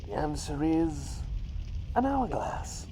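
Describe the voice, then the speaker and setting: A man's voice: a little high, big pitch swings, mellow, slight background noise, heavily acted. A man voicing a playful, eccentric talking bird in an ASMR roleplay, chatting and posing riddles in a whimsical, teasing way. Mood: teasing, suspenseful. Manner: whimsical, chatty, teasing, drawn-out suspenseful delivery.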